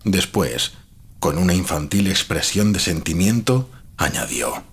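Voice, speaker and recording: Dude reading a creepy old gothic tale, deep voice, super husky, grave and deliberate storyteller style. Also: male voice, expressive. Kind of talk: scripted gothic horror narration.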